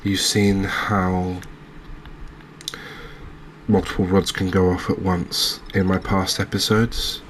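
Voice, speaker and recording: A man giving gentle, unhurried ASMR commentary over a cozy fishing game, with hesitant, understated phrasing. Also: deep voice, level tone, slight background noise. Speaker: host, video game streamer.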